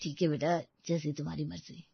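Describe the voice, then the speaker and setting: A woman voicing a gentle, long-suffering old grandfather in a storybook tale, mild and reassuring. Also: low voice, little pitch movement, a little fast, vocal fry, slightly rough, no echo, dull audio, exaggerated voice. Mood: meek. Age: older.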